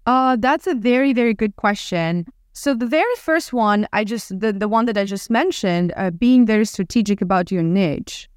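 A woman from Ukraine in her thirties with a Ukrainian accent, talking casually as a podcast guest with lively restarts and fillers. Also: medium pitch, normal speed, few pauses, clear, resonant tone, no room sound, studio quality. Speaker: guest entrepreneur. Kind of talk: casual interview talk.

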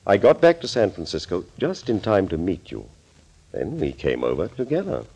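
An actor playing a suave, smug villainous doctor in a 1940s radio thriller, speaking politely and wordily. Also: male voice, sits low, huge pitch swings, moderate pace, mellow, husky, exaggerated voice. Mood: smug, candid. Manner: polite, wordy, theatrical confessing.

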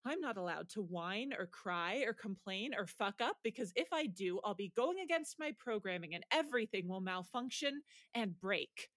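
An actress playing a stressed, deadpan high school girl with an exasperated manner in an audio drama. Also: normal speed, few pauses, no echo, lightly acted. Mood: anxious, frustrated. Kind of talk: naturalistic audio drama dialogue.

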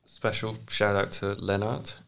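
A man on a casual podcast speaking hesitantly, halting and trailing off. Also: on the low side, level tone, creaky, a bit bright, smooth. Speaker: podcast co-host. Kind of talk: casual group podcast chat.

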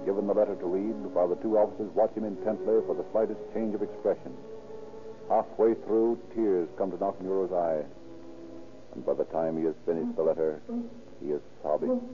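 Low-voiced vintage American radio narrator, man. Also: steady tone, brisk, soft background music. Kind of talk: scripted 1930s radio drama narration. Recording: tinny old radio recording.

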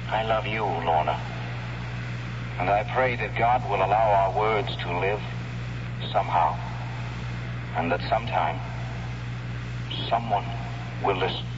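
An actor playing a despairing man giving a last testament, solemn and deliberate, in old radio drama style. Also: low voice. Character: despairing man David, recording a last testament as bombs fly. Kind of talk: 1970s radio drama acting. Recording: muffled, noisy old radio recording, long reverb.

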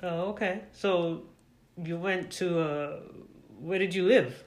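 A woman's voice: fairly low pitch, slow. A woman hosting a podcast and interviewing a guest, often rephrasing her questions and using fillers. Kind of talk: casual interview.

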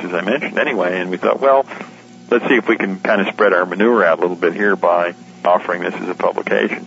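A man's voice: lowish voice, a bit bright. A man speaking candidly and colloquially as a guest in an informal radio interview. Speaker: editor and publisher, interview guest. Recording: dull, lo-fi radio interview recording.

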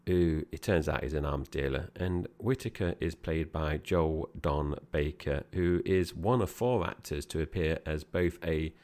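A man's voice: extremely low pitch, unhurried, few pauses, a little mellow. A man co-hosting a podcast, chatting casually as he reviews a film, meandering with fillers and restarts. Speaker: podcast co-host.

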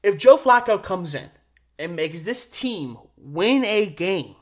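A man hosting a solo sports podcast, giving conversational, opinionated commentary with emphatic stresses and deliberate pauses. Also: sits high, expressive, fairly bright tone.

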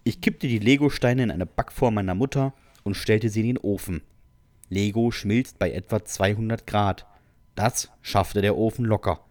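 A man's voice: on the low side, normal speed, darkish tone, no room sound. A man co-hosting a podcast, reading out listener stories in an amused, informal way. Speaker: podcast co-host.

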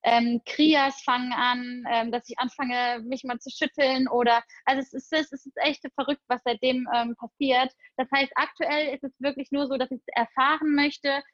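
A woman's voice: high voice, flat delivery, normal speed, few pauses, a bit bright, no echo, highs cut off. A young woman talking casually and enthusiastically about meditation routines, with frequent fillers. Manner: enthusiastic, spiritual, chatty with fillers. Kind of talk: casual conversation.

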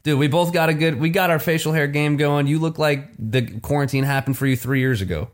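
A man co-hosting a casual chat, joking and excitable in quick banter. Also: a little high. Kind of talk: casual video-call chat.

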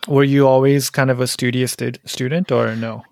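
A man's voice: medium pitch, level tone, moderate pace, creaky, darkish tone, no room sound, studio quality. A man hosting a podcast, interviewing a guest in an easygoing way and laughing along. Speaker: podcast host.

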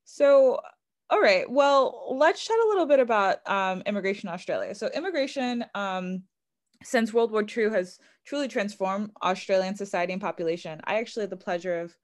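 A woman's voice: mid-range voice, expressive, fairly quick. A woman hosting a podcast, upbeat and filling her sentences with ums. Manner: enthusiastic, lots of fillers. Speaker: podcast host. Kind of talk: casual podcast interview.

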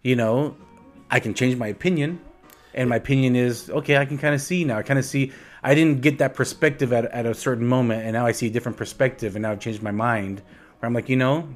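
A man's voice: mid-range voice, fairly even intonation, quick pace, faint music behind. A man co-hosting a casual podcast, thinking aloud with many restarts and a reflective manner. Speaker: podcast co-host.

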